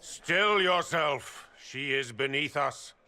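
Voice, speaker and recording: An actor playing an arrogant, contemptuous templar commander in a fantasy game, speaking with haughty scorn. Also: male voice, fairly high pitch, lively intonation, slow pace, pushed and bright, exaggerated voice. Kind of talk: fantasy game cutscene acting.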